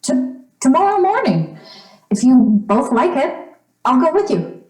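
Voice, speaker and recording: The voice is high and echoes in the room. It is a woman performing an earnest, pleading young woman in an old-time radio drama, with lively pitch. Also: measured pace, faint music behind. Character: earnest young woman, peacemaker between two friends. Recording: roomy, echoing recording.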